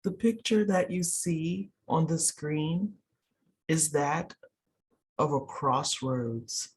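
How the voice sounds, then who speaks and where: A person leading a guided meditation in a soothing, unhurried way, pausing between short phrases. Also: mid-range voice, a little hiss or hum. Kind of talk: guided meditation, slow instructions.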